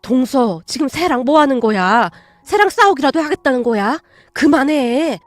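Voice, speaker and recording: A woman voicing a calm, firm middle-aged mother who scolds in a measured tone. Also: sits high, dry recording. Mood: reproachful. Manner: measured, reproachful.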